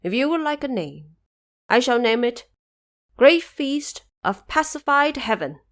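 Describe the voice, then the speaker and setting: A mighty, serene Buddha acted by a woman speaks in a fantasy audio drama. He sounds assured and unhurried, placing words deliberately with a broad, melodic range. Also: high voice, very animated, fairly bright tone, heavily acted. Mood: calm, assured. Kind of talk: audio drama character acting.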